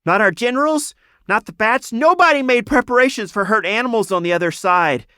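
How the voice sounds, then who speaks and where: A desperate rat soldier from an animal fantasy, acted out by a man reading aloud. The voice is raised high and loud, pleading hard, in a dry close recording. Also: animated, a little fast, smooth, dry recording.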